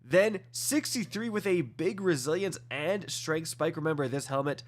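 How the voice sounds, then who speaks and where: A man's voice: a little high, lively intonation. A man with a congested nose giving a casual, enthusiastic review of items in a video game, often calling out to viewers as guys. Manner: quick, enthusiastic, fillers like guys and uh. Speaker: gaming video host. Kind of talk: casual gameplay review commentary.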